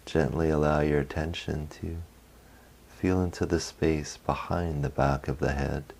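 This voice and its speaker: Very deep, slow man guiding meditation. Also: steady tone, vocal fry. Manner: gentle instruction in short phrases with long pauses. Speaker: meditation guide. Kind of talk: guided meditation.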